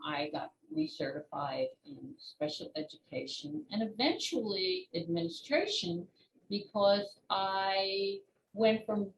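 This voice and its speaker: An older woman speaks as an interviewed author, explaining things in short, measured phrases with pauses. Her pace is unhurried. The room adds a clear echo, and there is noise in the background. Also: mid-range voice. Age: 60s or older. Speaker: children's book author and retired teacher being interviewed. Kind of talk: conversational interview answers.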